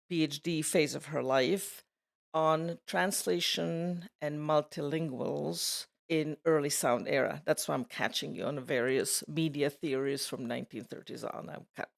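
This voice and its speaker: Older woman, Slovak native, layered Slovak-Swedish-English accent; gravelly edge, reflective interview guest. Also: low voice. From Czechoslovakia. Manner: thoughtful, digressive, laughs easily. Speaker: interviewee, retired program editor. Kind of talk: casual reflective conversation.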